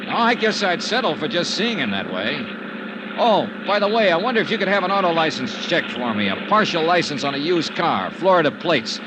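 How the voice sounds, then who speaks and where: An American man acting a dry, wry hard-boiled insurance investigator in a 1950s radio detective drama, with clipped, deliberate delivery. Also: fairly high pitch, sing-song, noisy background. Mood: wry, probing. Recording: vintage radio recording with music behind.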